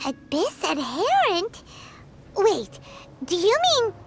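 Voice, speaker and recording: A woman voicing a tiny, excitable, childlike fairy sidekick with a squeaky voice in a video game. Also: slow, faint music behind, full character voice. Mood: puzzled. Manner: exclamatory, refers to self in third person. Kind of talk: English video game voice acting.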